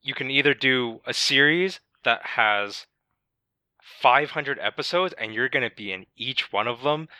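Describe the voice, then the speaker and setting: Bright, dry-sounding man posing questions. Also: mid-range voice, clean tone, no echo. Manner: poses hypotheticals, restarts often. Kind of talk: casual podcast chat.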